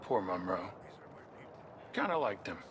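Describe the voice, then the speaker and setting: A man's voice: deep voice, big pitch swings, a little slow, noisy background. A man playing a dry, curious soldier in a video game, talking in terse, offhand phrases. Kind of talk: video game cutscene acting.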